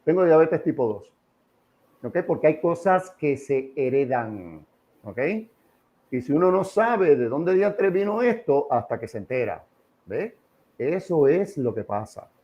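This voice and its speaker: A man's voice: fairly high pitch, very animated, measured pace, mellow, dull audio. A middle-aged or older man with a Puerto Rican accent, speaking informally as an interview guest in an emphatic, didactic way, with repeated phrases and rhetorical questions. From Puerto Rico. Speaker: interview guest, association president. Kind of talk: opinionated informal interview on history.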